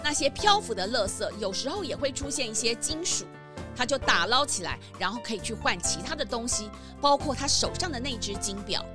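A woman's voice: a little high, animated, brisk, over music. A woman narrating a scripted detective story for children.

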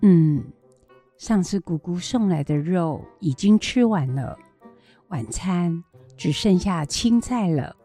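Radio drama grandmother, acted by a woman: elderly, worried, gently scolding, lowish husky voice, music underneath. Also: lively intonation, slow. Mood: resigned, caring. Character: weary, worried old grandmother raising four orphaned grandchildren.

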